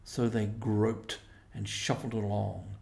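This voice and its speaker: A man narrating a storybook in a measured, expressive way. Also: lowish voice, vocal fry, roomy sound. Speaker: audiobook reader.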